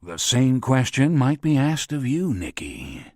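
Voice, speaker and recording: Man voicing a suave older spymaster, low, slow, soft, wry, dry sound. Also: very animated, no echo.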